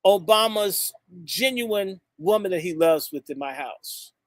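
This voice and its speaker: A man's voice: high voice, expressive, a little slow, no echo. A man from the United States giving an impassioned, blunt speech to a live audience, stretching out words for emphasis and jumping from topic to topic. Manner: fiery and emphatic, drawing out key words; blunt and insulting, jumps between topics. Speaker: speaker addressing a gathering.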